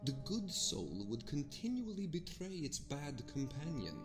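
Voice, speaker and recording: A man's voice: fairly high pitch, huge pitch swings, unhurried. A man voicing an old male scholar in an audio drama, speaking in grand, old-fashioned lecturing tones. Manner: archaic, grandly lecturing speech. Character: old male scholar with an ancient scroll, learned and earnest. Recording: roomy reverb, music bed.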